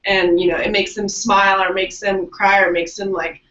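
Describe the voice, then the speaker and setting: A woman's voice: medium pitch, flat delivery, moderate pace, fairly bright tone. A woman sharing her thoughts in a casual group discussion, often saying "like". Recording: distant, roomy, muffled audio.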